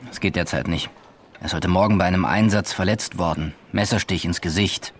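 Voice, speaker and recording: A man acting a deferential junior detective in a radio play, low-voiced and factual. He reports carefully and with reserve, over faint background noise. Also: brisk, natural voice. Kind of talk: German radio drama acting.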